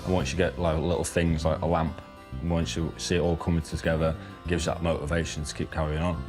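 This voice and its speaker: A man gives a casual personal account. His tone stays quite flat, and music plays clearly behind him. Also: extremely low pitch, fast talker. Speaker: former homeless client of a charity.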